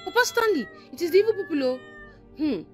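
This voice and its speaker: A woman's voice: very high-pitched, animated, fast talker, faint music behind. A woman acting a fretful, superstitious housewife in a comedy skit, pleading and insistent. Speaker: comic actor.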